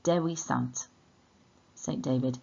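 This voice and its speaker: A woman's voice: rumbling low, steady tone, takes it slowly, creaky, darkish tone, lo-fi sound. A woman with a Welsh accent teaching an online language lesson, enunciating and repeating words in an instructional way.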